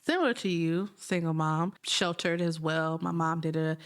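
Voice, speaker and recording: A thirty-year-old woman co-hosts a casual podcast. Her voice sits a bit low for a woman. She teases and laughs easily and jumps into high, squeaky voices when she quotes people. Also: steady tone, moderate pace, clear, resonant tone. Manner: playful teasing, laughs, squeaky put-on quotes. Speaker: podcast co-host. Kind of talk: casual chat.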